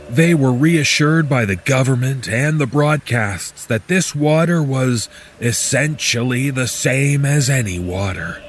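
A man playing a weary, bitter disaster survivor, narrating a horror story in the first person with deliberate, dramatic pauses. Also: medium pitch, slight background noise, close to an everyday voice.

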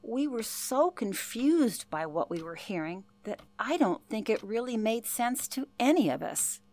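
An actress playing a former telephone operator who recalls past events in a reflective, earnest interview style. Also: female voice, fairly high pitch, very animated, a little slow, a little mellow. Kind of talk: interview-style narration in radio play.

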